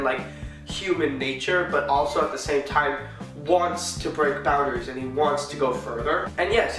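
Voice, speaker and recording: A man's voice: fairly high pitch, distant mic. A man with a stuffy, sick voice, chatting enthusiastically in a casual vlog with sarcastic asides. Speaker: vlogger. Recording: echoey room, away from the mic, background music. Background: background music.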